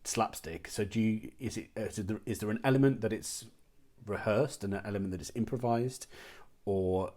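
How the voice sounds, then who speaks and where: A man's voice: on the low side, moderate pace, a little mellow. A man working as an interviewer, asking questions in a casual, conversational style.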